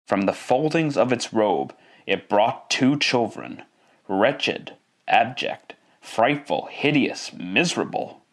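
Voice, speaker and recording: A man narrating a scripted story in the style of classic radio, with theatrical, deliberate phrasing. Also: medium pitch, sing-song.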